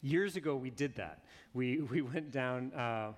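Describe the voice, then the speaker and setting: Male church leader, casual and conversational, mid-pitched. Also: measured pace. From United States. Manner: casual, conversational, with frequent fillers. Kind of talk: speaking to a church congregation.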